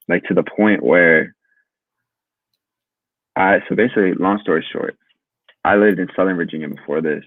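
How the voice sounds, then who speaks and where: A man's voice: low voice, no echo. A man in his twenties, a guest musician, chatting casually and telling stories in a loose, rambling way with some swearing, heard over a tinny phone-like line. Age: 20s. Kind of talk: casual interview chat.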